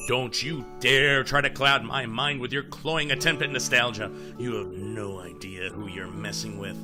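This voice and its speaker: A man's voice: medium pitch, fairly even intonation, moderate pace, natural voice. A man playing a sarcastic, paranoid talking raven in a comedic audio drama, exasperated and emphatic. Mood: defiant. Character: agitated, sarcastic male talking raven, paranoid about time. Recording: music playing behind the voice.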